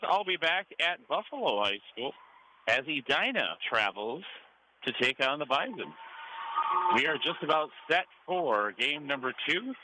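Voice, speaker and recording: An American man calling a high school game live on the radio as play-by-play announcer. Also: bright, forceful tone, poor audio. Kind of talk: live radio sports commentary. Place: high school gym broadcast position. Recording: faint noise behind live broadcast.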